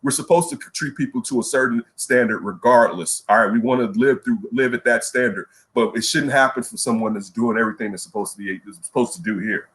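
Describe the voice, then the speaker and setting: A man co-hosting a casual sports talk show, ranting in an animated way and repeating words when he gets worked up. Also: mid-range voice, quick pace, hardly any pauses. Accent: Chicago. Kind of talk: casual radio show rant.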